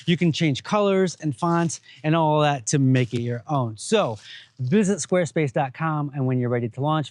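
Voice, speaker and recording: A man's voice: fairly high pitch, no echo. A man hosting a woodworking vlog, explaining things in an informal, plain-spoken way. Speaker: host of a woodworking channel. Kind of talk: casual workshop vlog narration.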